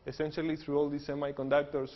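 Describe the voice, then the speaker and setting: A man's voice: a little high, level tone, fast. Greek-accented man lecturing in a hall. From Greece. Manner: joking, explanatory, frequent uh fillers. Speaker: engineering professor. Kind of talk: technical lecture with jokes. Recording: dull lo-fi hall recording with long reverb.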